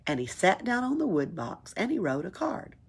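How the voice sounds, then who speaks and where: Animated, husky woman reading a story. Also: medium pitch, normal speed. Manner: expressive, chuckles and adds asides. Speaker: library read-aloud reader. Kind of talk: children's story read-aloud.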